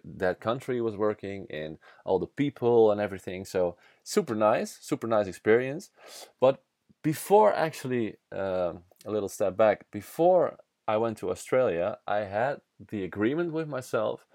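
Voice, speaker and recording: A man's voice: on the low side, a little mellow, no room sound, clean studio sound. Dutch-accented man telling a candid story. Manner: candid, swears, frequent restarts and fillers. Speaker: podcast host. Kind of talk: casual storytelling monologue.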